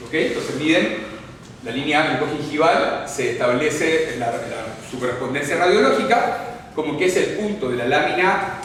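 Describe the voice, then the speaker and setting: A man's voice: a little high, expressive, moderate pace, fairly bright tone, a little hiss or hum. A man from Chile with a Chilean accent giving a live lecture, explaining step by step and often checking in with his audience. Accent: Chilean Spanish. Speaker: orthodontics lecturer. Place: lecture hall. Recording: echoey hall recorded from far off.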